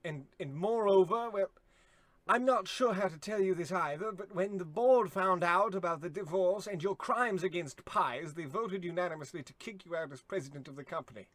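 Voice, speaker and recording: A man's voice: high-pitched, moderate pace, a bit bright, no room sound. A man playing a meek, loyal, deferential longtime servant who stammers, in a radio comedy play. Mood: nervous apology. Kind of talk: old-time radio comedy acting.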